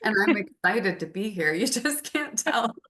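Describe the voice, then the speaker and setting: A woman who is a podcast guest, speaking calmly in a monotone. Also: mid-range voice, moderate pace. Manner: calm, monotone delivery, as she says herself. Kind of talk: casual podcast conversation.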